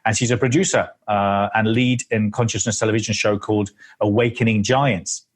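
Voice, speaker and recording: A man's voice: on the low side, steady tone, fairly quick. A man hosting an interview, introducing his guest with many hesitations and eager restarts. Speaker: host.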